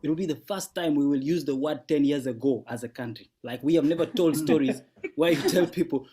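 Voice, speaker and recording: A man's voice: on the high side, brisk, dark tone, husky. A man from Sudan, doing a laid-back stand-up set from home over a video call, repeating words and chuckling through his jokes. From South Sudan. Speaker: guest comedian. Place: home at night.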